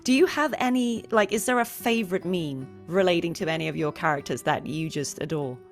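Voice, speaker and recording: A woman hosting a casual interview, chatty and giggly, quick to agree with her guest. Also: lowish voice, expressive, soft background music. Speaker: interview host.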